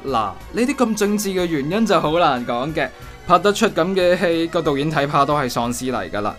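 A man's voice: fairly high pitch, expressive, a little fast, a bit bright, faint music behind. A man with a Hong Kong accent playing a teasing older brother in a comedic radio drama, talking with mock seriousness.

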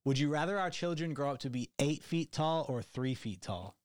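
A man with a monotone voice hosting a casual podcast chat, dry and teasing. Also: fairly high pitch, moderate pace, dry recording. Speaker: podcast host.

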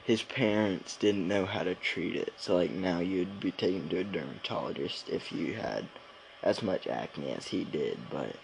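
Young dude podcast host, lowish voice, rambles with lots of likes, bit of background noise. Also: male voice, normal speed, creaky, low-quality recording. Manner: rambling, filler-heavy, informal slang. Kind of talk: casual podcast chat.

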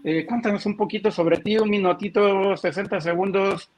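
A man's voice: high voice, steady tone, moderate pace. A man hosting a podcast, chatting casually and courteously as he interviews a guest. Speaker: podcast host.

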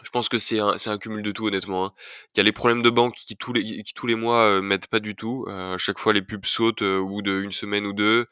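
A man's voice: on the low side, little pitch movement, fast talker, sharp tone, smooth, no room sound, clean studio sound. A young man hosting his own podcast while he has a cold, talking casually with many fillers and restarts. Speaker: podcast host. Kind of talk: solo podcast chat.

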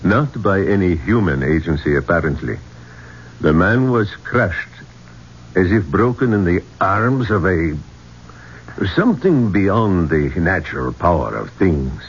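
An actor playing a grave, discreet Egyptian police inspector with formal, guarded phrasing in a radio drama. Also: male voice, deep voice, big pitch swings, a little slow, full character voice. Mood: ominous, measured. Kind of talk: 1970s radio drama acting. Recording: dull, noisy 1970s radio recording.

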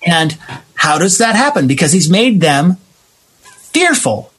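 An American man talking casually about politics in an emphatic, explanatory way, repeating phrases for stress, with a husky voice. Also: sits high, sing-song, unhurried, fairly bright tone.

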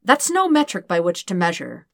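A woman voicing a fussy, excitable male detective who gets defensive and halting in an audiobook story. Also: lowish voice, vocal fry, dry recording, clean studio sound, exaggerated voice. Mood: indignant. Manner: indignant, halting, emphatic. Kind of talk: acted dialogue in fan fiction audiobook.

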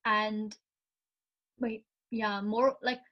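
A young Irish woman, a student, talking through ideas in a casual class discussion, her voice a little croaky from the morning. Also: medium pitch, flat delivery, takes it slowly, lo-fi sound. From Ireland. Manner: chatty, thinks aloud, frequent "like".

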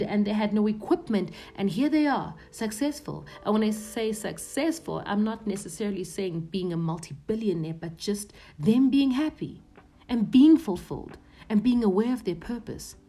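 Podcast host, woman in her 30s, mid-pitched warm tone, earnest and confiding, slips into hushed whispers. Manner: earnest, often drops to a hushed near-whisper. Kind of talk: reflective personal monologue.

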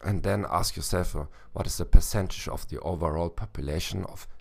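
Hoarse deep-voiced man with gravelly fry. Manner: halting, with many "uh" fillers and restarts. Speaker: podcast host and language teacher. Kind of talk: solo educational podcast talk.

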